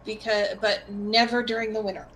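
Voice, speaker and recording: A woman's voice: medium pitch, little pitch movement, a little fast, fairly bright tone, slight background noise, rough recording. A woman in her fifties with a youthful-sounding voice, chatting casually. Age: 50s. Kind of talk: casual chat.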